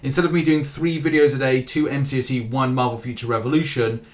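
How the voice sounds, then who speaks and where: A man's voice: medium pitch, steady tone, quick pace. A man hosting a casual gaming news roundup, chatty, often restarting phrases and reading out lists of scores and rankings.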